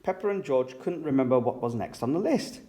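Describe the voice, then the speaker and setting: A male story reader for young children. His medium-pitched voice rises and falls in a lively way as he narrates expressively. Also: brisk. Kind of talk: expressive read-aloud storytelling.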